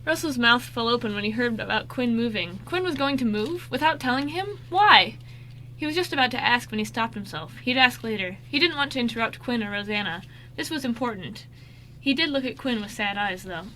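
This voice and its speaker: A woman's voice: on the high side, lively intonation, a little fast, sharp tone, slight background noise, poor audio. A woman narrating a scripted amateur radio drama, now and then stumbling over the script.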